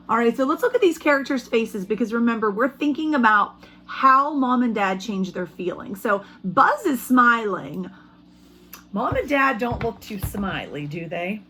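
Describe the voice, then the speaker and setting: A woman teacher giving an expressive read-aloud lesson for young children, pausing to ask them questions. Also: fairly high pitch, a little hiss or hum. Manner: expressive storybook reading. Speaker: elementary school teacher.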